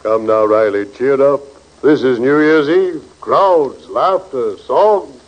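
An actor playing a genteel, ghoulishly cheerful undertaker in 1940s radio comedy, formal and solemnly jovial. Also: male voice, medium pitch, lively intonation, slow. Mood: mock jolly. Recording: muffled, faintly noisy 1940s radio recording.

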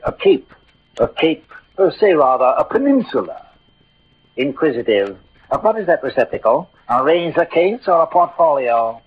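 Proud male duellist, 1940s radio verse: theatrical, mocking, very animated, phone-thin old recording. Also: a little high, slightly rough, narrow, tinny audio, exaggerated voice. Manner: grandly declamatory, mocking. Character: swaggering proud Gascon swordsman, witty and combative.

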